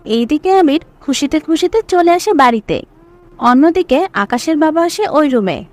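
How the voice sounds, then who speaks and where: A woman's voice: sits high, expressive, moderate pace, darkish tone, faint music behind. A woman reading scripted narration for a serial story.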